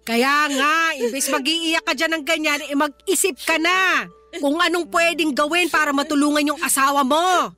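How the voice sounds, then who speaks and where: An actress playing a sharp-tongued middle-aged mother who nags and scolds her grown daughter, in a radio drama. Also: high-pitched, steady tone, moderate pace. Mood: scolding. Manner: nagging, scolding, sarcastic. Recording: radio drama with music bed.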